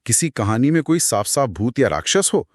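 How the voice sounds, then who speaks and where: A man co-hosting a podcast, retelling a horror story in a dramatic, suspenseful way with pauses. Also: medium pitch, sing-song, no room sound, clean studio sound. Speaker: podcast co-host.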